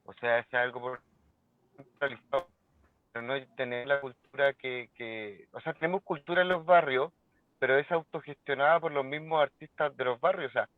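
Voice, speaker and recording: Guy on a panel, halting and full of fillers, tinny phone-ish sound, super dry. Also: medium pitch, dry recording. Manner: hesitant, halting, many fillers. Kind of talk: panel discussion.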